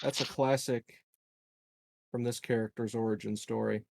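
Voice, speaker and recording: A man's voice: medium pitch, fairly even intonation, moderate pace, creaky, a little mellow, dry recording. A man talking casually as a player in a tabletop game.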